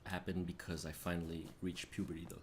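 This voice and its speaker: Laid-back man with a very deep voice, chuckling guidance over gameplay, faint room noise. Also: little pitch movement, fast talker. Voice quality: very deep today. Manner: laid-back, laughs often, gives directions. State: coughing fits. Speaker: co-host and former monk. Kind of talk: casual gaming chat.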